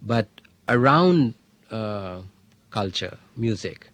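A middle-aged man, a history professor telling an anecdote in an interview, thoughtful, with many fillers and pauses. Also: on the low side, animated, a bit bright. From India. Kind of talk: interview, reflective storytelling.

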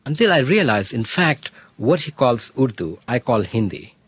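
A middle-aged man, a history professor telling an anecdote in an interview, thoughtful, with many fillers and pauses. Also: medium pitch, big pitch swings, a bit bright, husky, no echo. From India. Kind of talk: interview, reflective storytelling.

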